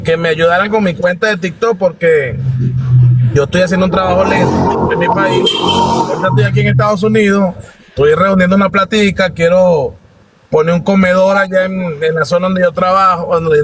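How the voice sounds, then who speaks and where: A man from Venezuela with a Venezuelan accent giving an informal testimony as a guest, respectful and halting, on faintly noisy live audio. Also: high voice, big pitch swings, faint music behind. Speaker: guest participant in a live discussion.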